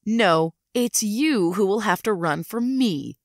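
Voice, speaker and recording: A woman's voice: mid-range voice, lively intonation, slow pace, no room sound, studio quality. A woman playing a gleeful, sinister female alien posing as an office worker in an audio drama, with a mock-innocent, taunting delivery. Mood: mocking menace.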